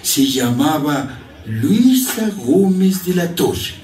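A man's voice: a little high, very animated, slow pace, a little mellow. An actor playing a son who narrates his mother's story in a radio drama. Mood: recounting. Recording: roomy distant playback with faint music.

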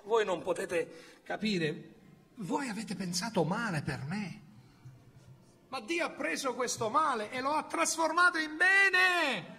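A man in his fifties preaching a sermon from a church pulpit, swinging from loud exclamations to hushed storytelling. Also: extremely high pitch, lively intonation, slow pace, a little hiss or hum. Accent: Italian. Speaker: pastor. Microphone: pulpit microphone on a stand.

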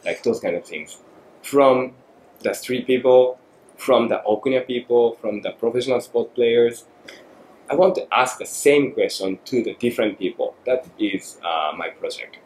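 A man in his forties from Japan with a Japanese accent, a guest speaking thoughtfully and haltingly in a podcast interview, with many fillers. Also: medium pitch, animated, darkish tone. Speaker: guest photographer. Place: small studio room. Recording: echoey room, faint noise.

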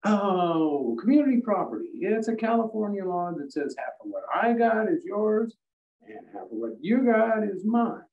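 A man's voice: sits high, sing-song, moderate pace, distant mic. An older man playing a sly, slick comedy husband with hesitant, muttering patter in 1940s radio style. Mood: explaining, fumbling. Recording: roomy, muffled, off the mic.

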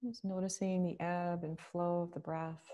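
A woman leading a guided meditation, speaking slowly and gently with long pauses. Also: fairly low pitch, flat delivery.

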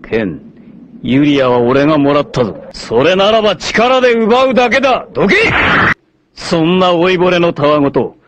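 An actor playing an arrogant, obsessive warlord villain in a 1980s anime, haughty, menacing and theatrical in delivery. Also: male voice, a little high, big pitch swings, moderate pace. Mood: furious, shouting. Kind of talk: dramatic 1980s anime voice acting.

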